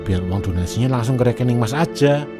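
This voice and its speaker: A man's voice: mid-range voice, expressive, quick pace, close to an everyday voice. A man voicing a greedy cartoon husband who fakes misery for donation money, talking in a whiny, pleading and sly way, with music playing under him. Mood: scheming. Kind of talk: animated cartoon voice acting.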